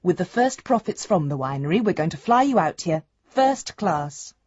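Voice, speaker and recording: An actress playing an affectionate, hopeful daughter, husky-voiced and earnest, reading a letter to her father in a radio drama. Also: on the low side, very animated, moderate pace, darkish tone, no echo. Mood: fond, hopeful. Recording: dry, slightly dull studio sound.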